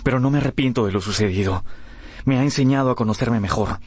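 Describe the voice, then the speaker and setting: An actor playing a vain, impassioned young gentleman in a radio drama, speaking in an earnest, declamatory manner. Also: medium pitch, fast, breathy edge, no echo, natural voice. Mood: resolute, elated. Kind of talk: Spanish-language radio drama acting.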